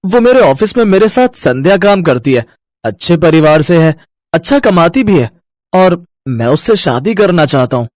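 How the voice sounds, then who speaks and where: A man's voice: high voice, big pitch swings, normal speed, a little mellow, no echo, clean studio sound. A man voicing a confident, earnest young son in a family cartoon. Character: confident young working son bringing home a love match.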